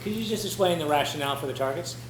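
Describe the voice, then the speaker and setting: A man's voice: on the high side, lively intonation, racing. A man questioning a presenter as a board member at a public meeting, persistent and probing. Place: echoey meeting room. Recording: echoey room with clear background hum.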